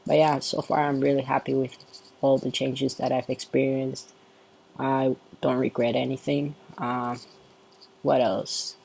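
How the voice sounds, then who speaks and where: A man with a soft, androgynous-sounding voice, talking through a casual update vlog in a relaxed, unhurried way. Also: mid-range voice, gravelly, husky, poor audio. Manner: relaxed and unhurried, with frequent ums. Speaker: vlogger.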